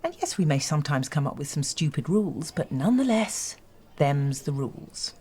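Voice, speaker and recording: An actress playing a condescending school headmistress who speaks in a dry, patronising, precise way. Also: female voice, deep voice, sing-song, moderate pace, a little mellow, husky, full character voice. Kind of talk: sitcom acting.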